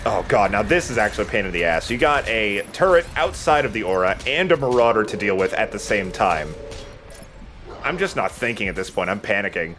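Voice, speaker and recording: A man's voice: lowish voice, expressive, brisk, a bit bright, slight background noise. A man giving casual, sweary commentary while he plays a video game. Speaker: let's play host playing the game. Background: game music.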